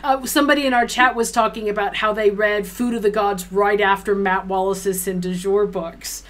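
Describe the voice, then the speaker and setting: A middle-aged woman interviewing casually as a co-host, talking in an animated, effusive way. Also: mid-range voice, moderate pace, slight background noise. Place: small room at home, indoors.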